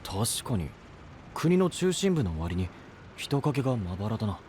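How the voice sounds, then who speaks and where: A man voicing a blunt, brash young male knight apprentice in an anime voice drama, talking in a rough, casual way. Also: lowish voice, big pitch swings, unhurried, a little mellow, slight background noise.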